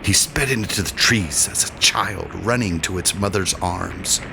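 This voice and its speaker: Very deep, slow male storyteller. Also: vocal fry, noisy background. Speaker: audiobook narrator. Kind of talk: scripted dramatic audiobook narration of romantic fantasy.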